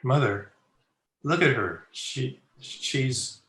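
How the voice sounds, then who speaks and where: An echoey male voice of medium pitch, an actor plays a concerned grown son in an old-time radio drama. He is solicitous and earnest. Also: expressive, very slow, a bit bright. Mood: alarmed. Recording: echoey home room.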